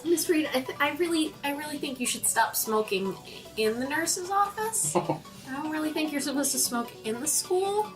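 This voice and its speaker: A woman voicing a timid, earnest junior high schoolgirl in a tabletop game, hesitant and politely stammering. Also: animated, normal speed, over music. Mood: timid, protesting.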